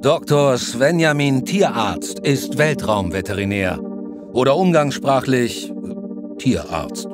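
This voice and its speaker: An actor playing a tongue-in-cheek narrator in a scripted teaser for a space audio drama. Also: male voice, medium pitch, lively intonation, takes it slowly. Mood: mock-dramatic. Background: music.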